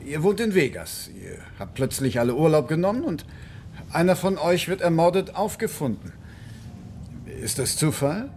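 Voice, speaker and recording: A seasoned, exasperated cop in a radio crime drama. His slightly high voice swings widely as he lectures suspects with deliberate condescension. There is faint noise and rumble on the recording. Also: big pitch swings, a little mellow. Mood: probing, sardonic. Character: seasoned interrogating cop, controlled, cunning, exasperated.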